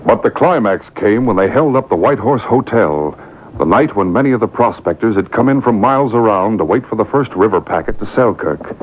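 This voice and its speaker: A man with a low voice delivers deliberate, dramatic vintage radio drama narration. The sound is narrow like a phone line, with clear background noise. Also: expressive. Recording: noisy, tinny radio broadcast taped off air.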